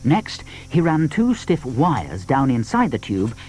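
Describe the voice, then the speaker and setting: A man with a British accent narrating a scripted children's story in an expressive storytelling manner. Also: mid-range voice, slow pace, slight background noise.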